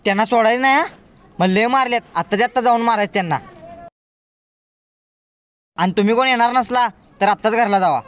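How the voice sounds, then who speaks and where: A man's voice: very high voice, fast, pauses often, a bit bright, clear, resonant tone. A man with a Marathi accent acting a blustering village gang leader in a comedy sketch. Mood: aggressive rallying. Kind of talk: acted comedy sketch dialogue.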